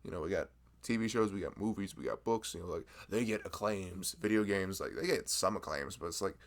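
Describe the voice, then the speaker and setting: A man playing a chatty, gravelly-voiced florist. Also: sits low, brisk. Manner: rambling, self-deprecating, laughs often. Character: chatty nerdy young male florist, friendly. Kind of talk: ASMR roleplay.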